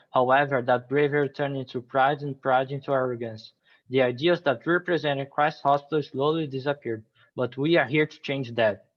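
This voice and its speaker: A man from Brazil, a student presenter, reading a scripted presentation over a video call in a formal, recited way. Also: medium pitch. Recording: dull lo-fi call audio.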